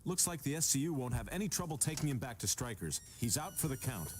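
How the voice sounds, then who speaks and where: A man's voice: medium pitch, big pitch swings, quick pace, darkish tone, slight background noise, close to an everyday voice. Game hero male actor: earnest, authoritative adult man, medium register, very varied melody. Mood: casual. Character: Superman, earnest resolute adult male superhero. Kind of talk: video game cutscene acting.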